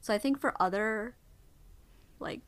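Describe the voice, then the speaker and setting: A woman's voice: on the high side, unhurried, a bit bright, clear, resonant tone. A young adult woman leading a casual conversation, telling a memory in a chatty, reflective way and asking her friends follow-up questions. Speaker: discussion leader.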